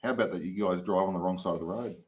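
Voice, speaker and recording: A man's voice: low-pitched, very fast, a little mellow. A man from Australia with an Australian accent, chatting casually as a guest. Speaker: guest, competition shooter. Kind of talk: casual chat.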